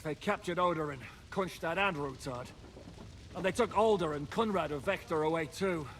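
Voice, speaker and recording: An actor playing a pragmatic, commanding medieval nobleman in a video game, measured and decisive. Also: fairly high pitch, a bit bright, slight background noise, natural voice. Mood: sober. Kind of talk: video game drama acting.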